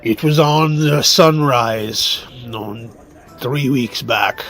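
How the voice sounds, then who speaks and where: A man playing a proud, scornful loyalist telling war tales in a fantasy radio drama, with halting, grandiose phrasing. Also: medium pitch, fairly bright tone. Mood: grave, proud. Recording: music under the voice.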